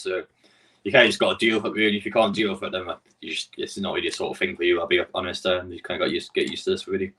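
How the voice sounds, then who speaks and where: A man with a Geordie accent hosts a casual live stream and rattles along quickly in a low voice. He rambles and answers his viewers' messages as he goes. His voice sits back from the microphone, and keyboard clicks come through now and then. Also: fairly bright tone, distant mic, poor audio. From Newcastle.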